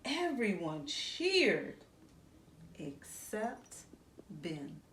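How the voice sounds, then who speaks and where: A woman narrating a children's book aloud in an expressive read-aloud style. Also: mid-range voice, extremely slow. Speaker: storybook reader. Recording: roomy echo, faint background noise.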